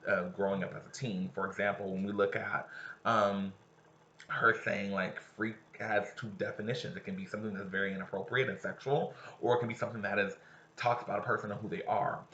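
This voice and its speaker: A man's voice: lowish voice, level tone, brisk, pushed and bright, a little hiss or hum. A man teaching casually, talking straight to his students while reading a novel aloud. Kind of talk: read-aloud lesson with discussion. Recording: roomy, slightly dull recording.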